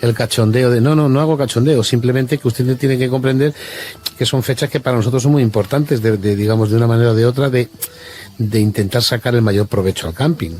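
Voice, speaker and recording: A man's voice: mid-range voice, fairly quick, a little mellow, close to an everyday voice. A man with an accent from Spain playing a pushy, evasive campsite owner on a prank phone call, formal and repetitive. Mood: insistent, rambling. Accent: Spanish, from Spain.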